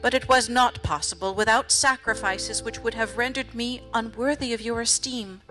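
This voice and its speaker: An actress voices a devoted early American wife in a historical drama. Her voice sits a little high, with music underneath. She reads a farewell letter in a measured, tender and solemn way. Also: bright, forceful tone, poor audio. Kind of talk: dramatic reading of a period letter.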